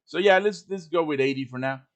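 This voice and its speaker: A man presenting an informal live software tutorial, explaining things step by step with casual asides. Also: a little high, a little fast. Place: live-streamed voice chat with screen share.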